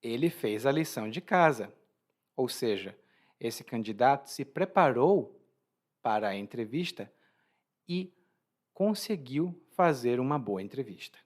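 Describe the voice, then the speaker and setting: Lively-voiced male teacher. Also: mid-range voice, measured pace. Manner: explanatory, frequent restarts and laughs. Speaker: Portuguese teacher. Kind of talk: language lesson explaining expressions.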